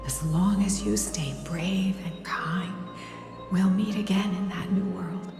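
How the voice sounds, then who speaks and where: A woman playing a gentle, loving mother in a dramatic game cutscene, speaking tenderly in a slow, hushed, breathy voice. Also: fairly low pitch, fairly even intonation. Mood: wistful, reassuring. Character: gentle, loving mother and holy maiden soothing her child. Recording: echoey long reverb under clear music.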